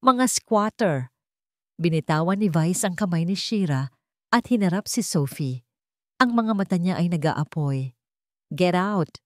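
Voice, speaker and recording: A woman narrates a dramatic love story from a script. Her voice sits low, and the sound is dry and close, with no room around it. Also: animated, moderate pace, darkish tone, no echo.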